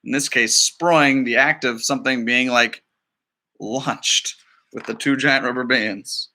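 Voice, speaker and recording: A man speaking as a storytime librarian, giving expressive, explanatory chatty asides during a children's picture book read-aloud. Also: medium pitch, measured pace.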